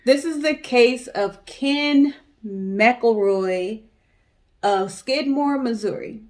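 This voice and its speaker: A woman's voice: fairly high pitch, drawn-out words. An American woman co-hosting a storytelling show, talking casually and colloquially as she recounts true crime and speaks directly to viewers. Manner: conversational, colloquial, addresses audience directly.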